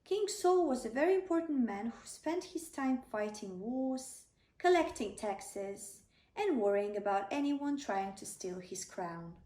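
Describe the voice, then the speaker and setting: Mellow, high-pitched woman reading a bedtime story. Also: animated, measured pace. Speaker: storybook narrator. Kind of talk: read-aloud children's bedtime story. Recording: roomy, slightly distant microphone.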